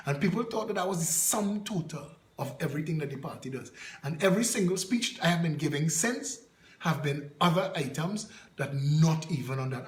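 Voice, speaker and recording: Fiery high-voiced Trinidadian man. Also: echoey room. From Trinidad and Tobago. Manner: fiery, scornful, rhetorical questions. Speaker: political party leader. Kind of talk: impassioned political address.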